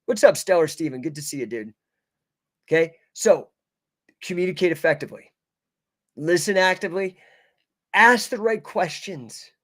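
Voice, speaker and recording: A man's voice: high voice, lively intonation, moderate pace, long pauses, a bit bright. A man coaching an online audience informally, speaking in emphatic short phrases with slangy, buddy-style address and frequent check-in questions.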